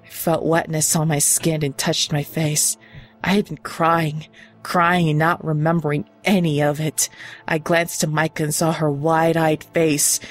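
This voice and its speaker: A woman giving a dramatic, suspenseful first-person reading of a horror story, pausing deliberately for emphasis. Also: low voice, flat delivery. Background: background music.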